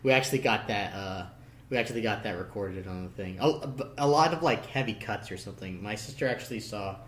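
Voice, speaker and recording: Man, lowish voice, casual podcast chatter, halting with frequent fillers, echoey room, slight background noise. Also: a bit bright. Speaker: podcast guest or co-host. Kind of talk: casual podcast chat about cartoons and games. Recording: roomy echo, noisy background.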